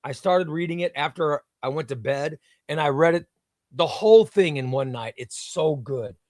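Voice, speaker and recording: Man, livestream host, enthusiastic, repeats phrases, slightly high voice, dry sound. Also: normal speed, darkish tone, no room sound. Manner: enthusiastic, repeats himself, reads viewer comments aloud. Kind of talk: casual livestream chat reading comments.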